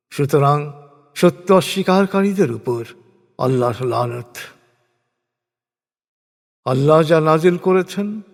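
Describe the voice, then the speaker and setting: A man reading a religious translation as a solemn scripted narration, in measured, deliberate phrases. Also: sits high, darkish tone. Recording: long hall-like reverb.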